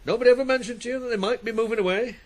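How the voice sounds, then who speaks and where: An actor in a 1980s radio drama playing a stern but fair farm boss, plain-spoken, measured and questioning. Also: male voice, very high-pitched. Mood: stern, probing. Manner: measured, questioning, matter-of-fact.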